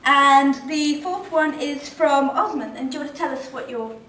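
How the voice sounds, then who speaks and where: A woman's voice: sits high, normal speed, sharp tone. A woman editing a radio show, leading an editorial meeting and asking questions with frequent ums. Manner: leads discussion, asks questions, hesitant ums. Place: conference meeting room. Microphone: distant room mic. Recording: echoey room from far off, faint noise.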